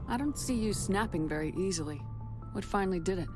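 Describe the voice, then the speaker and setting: A woman's voice: mid-range voice, expressive, fairly quick, lightly acted. A woman playing a composed, decisive military commander in a video game, with a direct and controlled way of speaking. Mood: calm, probing. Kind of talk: video game dialogue acting. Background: music.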